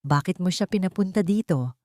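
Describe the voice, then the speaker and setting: A woman narrator acts out a rich old man used to giving orders. He speaks with calm authority in a romance audio story, close and dry. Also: on the low side, a little fast, no echo, close to an everyday voice. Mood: commanding. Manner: calm, probing, commanding. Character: stern, commanding old rich patriarch.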